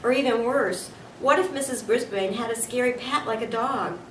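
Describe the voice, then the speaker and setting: A woman voicing a small, excitable, nervous male hamster who tells his story in a chatty, wide-eyed way. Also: on the high side, moderate pace, natural voice. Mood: anxious. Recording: echoey room, distant mic, background noise.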